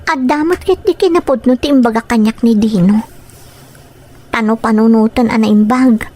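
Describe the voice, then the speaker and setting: An actress in an Ilocano audio drama playing an earnest, dutiful young working daughter with a measured delivery. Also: female voice, fairly high pitch, warm tone, natural voice. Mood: reflective.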